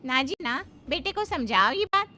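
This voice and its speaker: A woman's voice: sits high, big pitch swings, moderate pace, sharp tone, faint music behind, exaggerated voice. A woman voicing a nosy, meddling friend in a cartoon story, gossipy and goading. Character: nosy, meddling neighbourhood friend of the mother-in-law. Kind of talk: cartoon moral-story dialogue.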